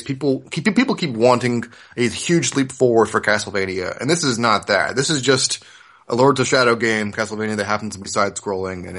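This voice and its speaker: A man's voice: on the low side, a bit bright. A man in his thirties hosting a casual podcast, explaining things in an informal way. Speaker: podcast host.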